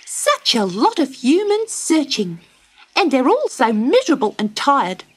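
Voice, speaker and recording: A woman's voice: high voice, huge pitch swings, a little slow, voice pushed up, heavily acted. A woman voicing a fussy little male wagtail bird in a storybook.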